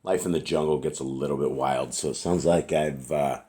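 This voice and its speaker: A man with a hoarse, raspy voice, giving an earnest, informal scripture teaching and clearing his throat now and then. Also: rumbling low, fairly even intonation, moderate pace, dark tone. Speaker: Bible teacher.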